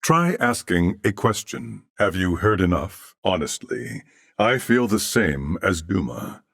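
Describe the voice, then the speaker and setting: A low-voiced, courteous humanoid fused with machine intelligence for a video game. The diction is formal, measured and earnest, yet the intonation moves expressively. The sound is dry and close, giving the thoughtful character a clean studio presence. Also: male voice, sing-song, gravelly, fairly bright tone, dry recording, heavily acted. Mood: calm, explanatory.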